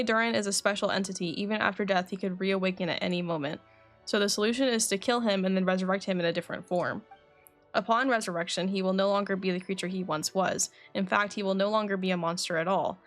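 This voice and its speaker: A woman's voice: medium pitch, fairly even intonation, fast talker, faint music behind. A woman livestreaming a game, chatting informally and reading game dialogue aloud. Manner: chatty, informal, says "like" often.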